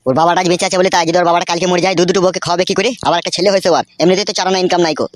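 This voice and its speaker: A man's voice: sits high, steady tone, rapid-fire, no echo, studio quality, lightly acted. A man playing a vain, flirtatious party henchman in a comic cartoon, nosy and preachy. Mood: scolding. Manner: nosy, preachy, smooth-talking. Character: meddling, flirtatious party henchman, vain bachelor of thirty-three. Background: background music.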